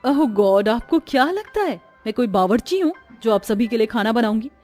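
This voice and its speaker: A woman's voice: fairly high pitch, lively intonation, normal speed, warm tone, faint music behind, natural voice. A woman voicing a haughty young modern city daughter-in-law in a Hindi cartoon, scornful and dropping English words. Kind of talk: Hindi cartoon story dialogue.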